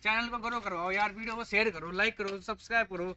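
Bright-voiced young man vlogging outdoors. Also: sits high, fairly even intonation, quick pace, muffled recording, slight background noise. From India. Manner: chatty, playful, addresses viewers as friends. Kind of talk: casual vlog chat. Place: outdoor park with gym equipment. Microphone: handheld phone camera, moving around.

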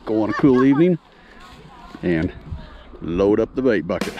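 A man's voice: lowish voice, sing-song, moderate pace, muffled recording, noisy background. A middle-aged American man chatting casually and folksily to camera, as an outdoor fishing host. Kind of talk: casual explaining and chat to camera. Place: outdoors by a creek and meadow.

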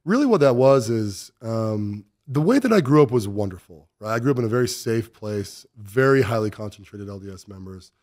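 A man's voice: on the low side, lively intonation, fairly quick, few pauses, a little mellow. A man in his forties with an American accent, talking bluntly and emphatically as an interview guest, close on a studio mic. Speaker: podcast guest, business owner. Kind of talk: conversational interview. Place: indoor podcast studio. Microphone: close studio mic on boom arm.

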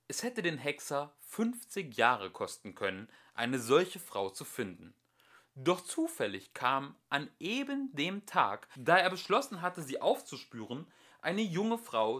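A young man narrates a storybook tale in the manner of a homemade audiobook. His voice sits at an average pitch with a bright, forward edge. He moves animatedly up and down as he stresses the phrases. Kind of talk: scripted fairy tale narration, read aloud.

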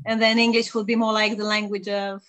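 A woman's voice: medium pitch, flat delivery, a little fast, a bit bright. A woman co-hosting an online interview over a video call, introducing the guest and asking curious follow-up questions. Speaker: co-host.

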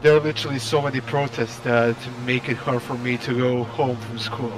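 A man's voice: mid-range voice, fairly even intonation, fairly quick. A young man from Serbia with a Serbian accent, a caller speaking hesitant English while searching for words. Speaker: caller in a live stream. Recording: noisy voice-chat line.

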